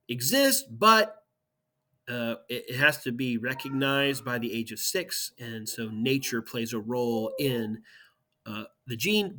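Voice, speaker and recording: A man's voice: medium pitch, sharp tone. A man teaching his students, first reading an article aloud steadily and then speaking casually to them about the assignment.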